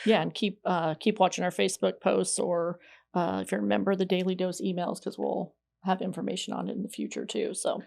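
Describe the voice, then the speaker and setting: A woman's voice: on the low side, level tone, fairly quick, vocal fry, darkish tone. A woman hosting a podcast in a casual, chatty way. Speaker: podcast host. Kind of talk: casual co-hosted podcast chat.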